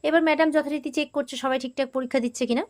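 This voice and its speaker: A woman's voice: high-pitched, fairly even intonation, racing, darkish tone. A woman with a West Bengal accent giving a casual, chatty spoken recap of a TV serial, slipping into quoted dialogue as she tells it. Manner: chatty, informal storytelling with quoted exchanges. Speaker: host of a TV serial recap channel.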